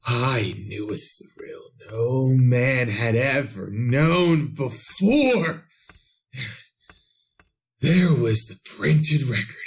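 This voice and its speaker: Radio horror casting: gloating, half-mad doomed narrator, slow and gravelly, halting and theatrical, repeating himself. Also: male voice, medium pitch, a bit bright, full character voice. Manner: halting, repetitive, theatrical delivery with self-questioning. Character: half-mad man narrating his doom, gloating and chuckling. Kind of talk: 1930s radio horror drama acting.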